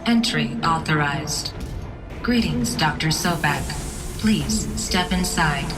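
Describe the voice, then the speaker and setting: Slow, low computer voice. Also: female voice, lively intonation, recorded from a distance, music in the background. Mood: impassive. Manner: measured, impersonal, courteous. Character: calm, formal female computer security system. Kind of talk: automated announcement in a video game.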